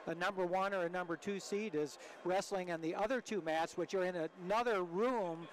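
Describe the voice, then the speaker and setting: High-voiced older American male commentator. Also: normal speed, low-quality recording. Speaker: sports broadcast co-commentator. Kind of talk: conversational live commentary. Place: indoor sports arena.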